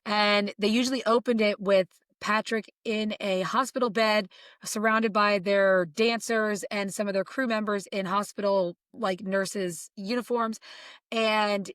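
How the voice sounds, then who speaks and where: Hoarse lady podcast host, chatty fan talk, dry close mic. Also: medium pitch, measured pace, dry recording. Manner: chatty, excited fan storytelling. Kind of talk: casual podcast chat.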